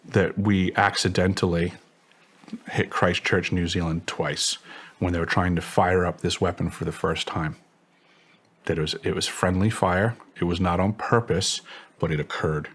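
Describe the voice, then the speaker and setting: A man's voice: low voice, steady tone, moderate pace, fairly bright tone, breathy edge. A man speaking as an interview guest, giving assertive testimony in formal, technical wording. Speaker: interview guest, former contractor.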